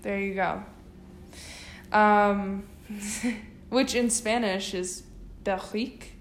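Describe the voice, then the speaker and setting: A woman's voice: medium pitch, fairly even intonation, extremely slow, a little hiss or hum. Chatty young woman podcaster, roomy sound. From United States. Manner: chatty, many fillers. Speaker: podcast co-host. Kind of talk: casual chat.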